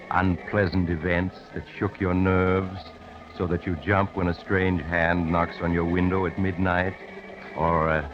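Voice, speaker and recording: Deep, sly, sinister radio storyteller. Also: male voice, noisy background. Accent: American. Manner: unhurried, ominously genial, teasing pauses. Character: sly, sinister storyteller teasing listeners with dark hints. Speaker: mystery show host and narrator. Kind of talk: 1940s radio mystery narration. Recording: muffled 1940s radio recording with music.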